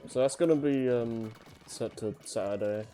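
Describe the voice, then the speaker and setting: A man's voice: mid-range voice, normal speed, mellow, slight background noise. A man co-hosting a casual livestream who agrees easily, laughs a lot and answers briefly. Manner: agreeable, laughing, short replies.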